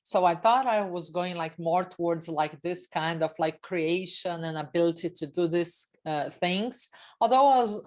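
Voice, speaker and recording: A middle-aged woman with a Brazilian accent and native Portuguese, giving an informal, chatty talk as a guest speaker. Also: on the low side. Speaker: guest speaker, technology executive. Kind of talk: informal online presentation.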